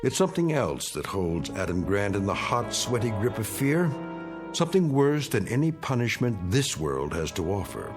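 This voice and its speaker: Ominous suspense narrator: low voice, very varied intonation, grave radio-drama delivery over prominent music. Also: male voice, very animated, moderate pace. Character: grave male narrator of an eerie suspense anthology.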